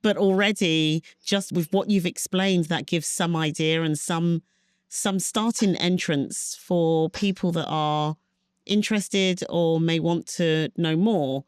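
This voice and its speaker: A woman's voice: on the low side, steady tone, takes it slowly, smooth, dry recording, clean studio sound. A woman hosting an interview podcast, asking questions in an encouraging, conversational way. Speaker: podcast host.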